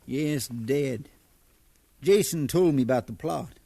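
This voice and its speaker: An actor playing a suspicious, commanding slave owner, stern and threatening, in a 1950s radio drama. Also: male voice, mid-range voice, slow pace, creaky, dark tone, breathy edge, no room sound, heavily acted. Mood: cold, threatening. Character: suspicious, commanding Southern slave-owning colonel.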